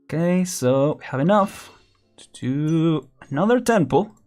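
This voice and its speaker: A man's voice: a little high, lively intonation, a little slow, a little mellow, faint music behind. A young man casually commenting while streaming a game, chatty and laughing often, counting down as he plays. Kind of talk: casual live gameplay commentary.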